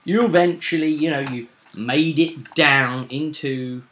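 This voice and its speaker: Male game master for a recap vidcast; forceful, bright tone, theatrical pauses, conversational. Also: on the high side, slight background noise. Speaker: host and game master recapping a tabletop session. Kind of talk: casual recap discussion of a tabletop roleplaying game.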